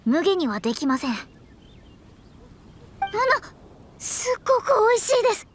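Proud, high-voiced young astrologer. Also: female voice, big pitch swings, fast talker. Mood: bashful, proud, then delighted, flustered. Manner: prim, polite, stammering when flustered. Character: proud young female astrologer, easily flustered, putting on dignity. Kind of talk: Japanese anime game voice acting. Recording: music behind the voice.

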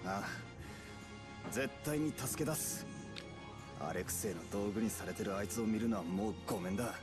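An actor voicing a cool, roguish young swordsman in a Japanese video game, rough and laid-back. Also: lowish voice, fast talker, over music, close to an everyday voice. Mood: determined.

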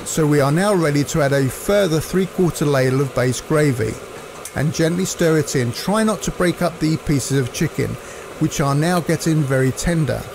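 A man presents a recipe step by step. His voice sits a little high and has a lot of creak in it, and he explains each stage in a measured way. Clear cooking noise runs behind him. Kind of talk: instructional recipe narration.

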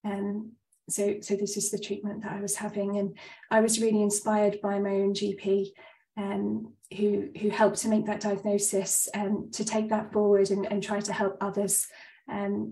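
Woman GP, 30s, congested, earnest webinar speaker; flat delivery, roomy distant sound. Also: medium pitch, moderate pace, a little hiss or hum. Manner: earnest, frequent ums. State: stuffed up, slightly breathless. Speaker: GP giving a presentation. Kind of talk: webinar talk. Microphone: video call.

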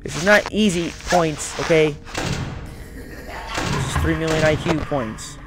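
Young male gamer over loud game music. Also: on the high side, slow. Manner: muttering, repeats phrases. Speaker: gaming video host. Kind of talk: casual let's-play commentary. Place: small room indoors.

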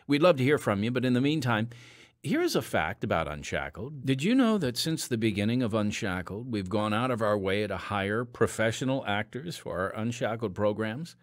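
Lively low-voiced male podcast host. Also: moderate pace. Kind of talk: scripted radio-style announcing.

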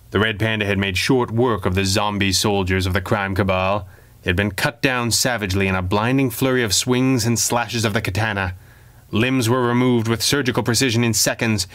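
A man's voice: fairly low pitch, a bit bright. A man reading pulp adventure fiction aloud as dramatic scripted narration. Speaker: audiobook narrator.

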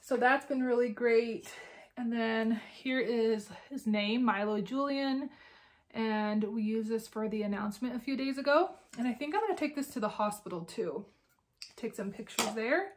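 A woman's voice: fairly high pitch, normal speed. A young woman with a congested, nasal voice, slightly out of breath, chatting casually as a vlog host. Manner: chatty, many "like" fillers. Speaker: crafting podcast host. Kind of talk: casual personal vlog chat.

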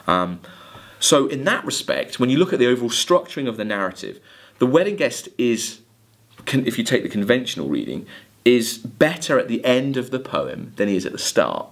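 A man giving a literature lecture, emphatic, halting and deliberate as he corrects himself. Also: mid-range voice, very animated. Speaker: college English literature lecturer. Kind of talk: lecture with quoted lines of verse. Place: indoors, recorded video lecture at a desk.

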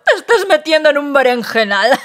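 A woman voicing a catty female avocado in an animated comedy, mocking and bitchy with drawn-out words. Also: high-pitched.

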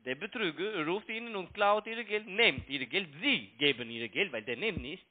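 High-voiced man, foreign accent, tinny phone. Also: lively intonation. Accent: foreign-accented German. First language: not German. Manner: halting, repetitive broken grammar. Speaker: phone scam caller. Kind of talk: argumentative phone call. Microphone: telephone. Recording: tinny narrow phone line.

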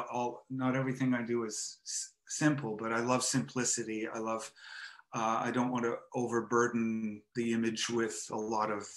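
A man's voice: medium pitch, fairly bright tone. Older man musing hesitantly on a video call. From Montreal, Canada. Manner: hesitant and reflective, many pauses and restarts. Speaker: artist and photographer guest. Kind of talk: casual interview conversation. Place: home room with framed photo, indoors. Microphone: headset on a video call.

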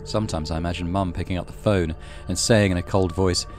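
Casting: male narrator for horror visual novel, low voice, measured dramatic storytelling, music underneath. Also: fairly even intonation. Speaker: gaming channel host reading visual novel.

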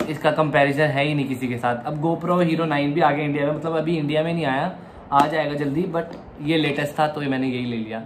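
A young man from India vlogging casually at home, chatting excitedly as he unboxes a camera and explains each step. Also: on the high side, a little hiss or hum. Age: young adult. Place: room at home, indoors.

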